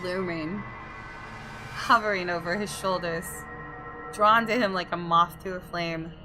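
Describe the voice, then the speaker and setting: A woman's voice: fairly low pitch, steady tone, measured pace, over music. A woman reading visual novel narration aloud as a gaming video creator, in a dramatic storytelling way with casual asides.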